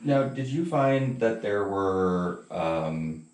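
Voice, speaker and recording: A man interviewing someone casually, asking measured questions and offering brief encouraging responses. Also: sits low, animated, a little mellow. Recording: roomy echo, distant from mic.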